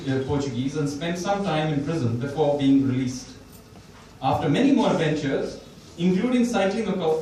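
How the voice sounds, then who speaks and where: A man, an author at a bookstore event, talks about his book and reads a passage from it, pausing often and restarting his sentences. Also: a little high, a little mellow, a little hiss or hum. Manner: thoughtful, with pauses and restarts. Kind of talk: talk and reading from his book. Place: bookstore event room, indoors. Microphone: distant mic or none. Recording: very echoey room, voice from far off.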